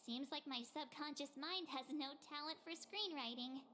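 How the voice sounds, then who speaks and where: A perky teenage girl in an anime-style game. Her voice is high and bright, chatty and self-deprecating, with faint noise around it. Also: moderate pace. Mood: sheepish. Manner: chatty, hesitant, expressive.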